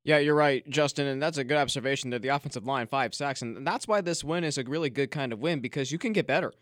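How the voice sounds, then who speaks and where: A man hosting a sports podcast from a studio, recapping a football game with emphatic excitement. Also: medium pitch, quick pace, dry recording. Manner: excited, emphatic, addresses co-host by name. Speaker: podcast host. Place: radio studio.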